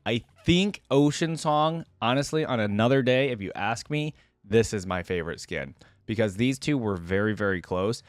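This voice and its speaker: A man's voice: mid-range voice, clean tone, dry recording. A man hosting a reaction video, commenting casually and thinking aloud in an informal way as he goes.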